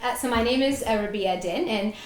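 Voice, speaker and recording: A woman's voice: fairly high pitch, fairly quick, slight background noise. A woman, a mother and settlement worker, speaking earnestly as a panelist with many filler words. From Canada. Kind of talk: panel discussion. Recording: echoey room, faint ambience.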